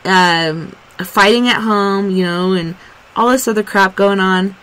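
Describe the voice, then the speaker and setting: A woman's voice: mid-range voice, a little slow, fairly bright tone, low-quality recording. A woman from Arkansas hosting a radio talk show, chatting casually and rambling with plenty of "like" and "um.". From Arkansas, USA. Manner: rambling, lots of "like" and "um". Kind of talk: casual on-air chat.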